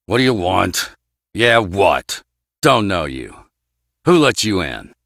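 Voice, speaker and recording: Gruff, gravelly, suspicious man. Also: low-pitched, very animated, slow, fairly bright tone, no room sound, studio quality, heavily acted. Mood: irritated. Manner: curt, brusque.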